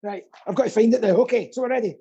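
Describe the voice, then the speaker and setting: Husky, mellow man, playfully camp. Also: high-pitched, racing, dull audio. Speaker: quiz host. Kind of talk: live online quiz banter.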